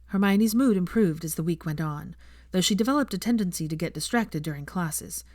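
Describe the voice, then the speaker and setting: A woman's voice: lowish voice, a little fast, a little mellow, no echo, clean studio sound. A woman narrating scripted fiction as an audiobook reader.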